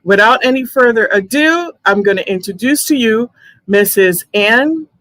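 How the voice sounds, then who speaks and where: A woman's voice: a little high, animated, normal speed, a bit bright, dry recording. A woman hosting a live online interview, chatty, with many fillers and restarts. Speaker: host.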